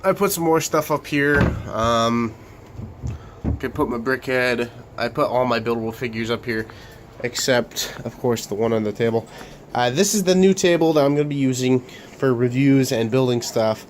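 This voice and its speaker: A young man hosting a casual room tour vlog of his bedroom studio, talking haltingly with many fillers and restarts while coming down with a cold. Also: mid-range voice, a little hiss or hum. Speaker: Lego channel host.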